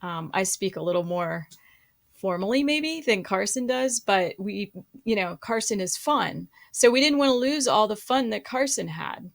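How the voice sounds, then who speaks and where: A woman, a co-author on a podcast, speaking in a measured way with frequent fillers. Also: mid-range voice, expressive, creaky. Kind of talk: casual podcast chat.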